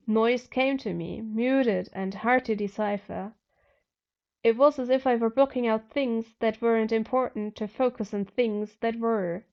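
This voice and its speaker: Creaky, high-pitched woman reading fiction. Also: dull audio. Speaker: amateur audiobook reader. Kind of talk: expressive first-person fiction narration.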